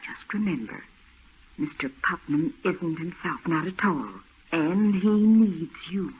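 A woman playing an older housekeeper who is protective of her employer and speaks in a hushed, pleading way, in 1940s radio acting. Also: on the low side, slow, breathy edge, full character voice. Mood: worried. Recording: tinny old radio broadcast with faint hiss.

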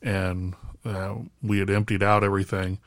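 A man co-hosting a casual podcast chat, his voice raspy and hoarse from screaming at a concert, rambling with lots of fillers. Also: sits low, completely flat delivery, moderate pace, dry recording, studio quality. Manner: rambling, filler-heavy delivery. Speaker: podcast co-host.

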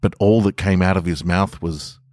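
Low-voiced man hosting a storytelling podcast. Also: steady tone, normal speed, a little mellow, no room sound, clean studio sound. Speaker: podcast host and author reading own stories. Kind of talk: casual podcast intro, then narrated short stories.

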